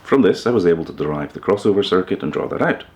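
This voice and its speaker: A man presenting an informal explainer video in a measured, matter-of-fact way. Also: low-pitched, animated. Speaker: host of a hi-fi upgrade video channel.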